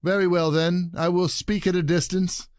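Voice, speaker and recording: Man playing an exasperated, higher-voiced wronged husband. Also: level tone, moderate pace, a little mellow, no echo, clean studio sound, natural voice. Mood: exasperated pleading. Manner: theatrical, indignant, pleading. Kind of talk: audio play of classic stage comedy.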